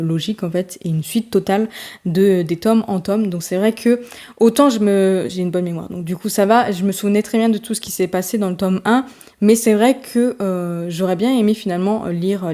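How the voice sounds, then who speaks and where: Woman, book vlogger, casual chat, mellow tone, fillers and restarts, stuffy cold. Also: medium pitch. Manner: chatty, many fillers and restarts. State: congested with a summer cold, tired.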